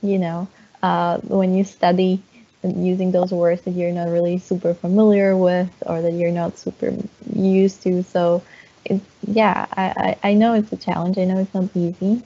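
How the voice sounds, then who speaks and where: Warm-voiced woman teacher, measured. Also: fairly low pitch, little pitch movement. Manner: measured, repeats words while thinking. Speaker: teacher and therapist. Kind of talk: interview answer on a video call. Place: room at home. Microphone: wired earphone mic on a video call. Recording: dull lo-fi video call audio.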